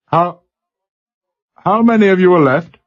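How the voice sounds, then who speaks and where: A man's voice: mid-range voice, animated, takes it slowly, a little mellow, husky. A man voicing an older male ship's captain, worn but commanding, giving clipped, urgent orders. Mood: stunned. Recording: dull lo-fi recording.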